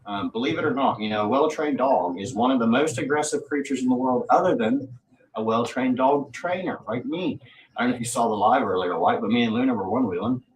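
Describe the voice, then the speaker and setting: A man's voice: medium pitch, fairly quick. A man hosting a livestream, rambling casually in a boastful way with plenty of swearing. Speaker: livestream host. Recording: roomy, distant mic, faint noise.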